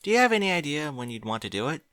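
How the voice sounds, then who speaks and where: A man's voice: fairly high pitch, very animated, rapid-fire, pushed and bright, dry recording, voice pushed up. A man voicing a cheerful, studious young unicorn mare in a fan fiction audiobook, speaking politely. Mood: friendly. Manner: polite, bookish.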